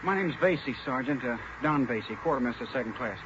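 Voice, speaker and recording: An actor playing an earnest, polite young navy sailor as a hesitant eyewitness in 1940s radio drama style. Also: male voice, mid-range voice, expressive, a little fast, close to an everyday voice. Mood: earnest, shaken. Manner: hesitant yet eager, polite. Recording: muffled, noisy 1940s radio broadcast.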